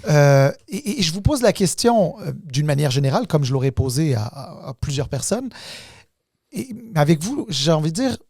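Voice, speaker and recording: A man's voice: fairly high pitch, big pitch swings, normal speed, darkish tone, husky, dry recording, studio quality. A man hosting an interview, circling around his questions with many fillers and restarts. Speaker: podcast host.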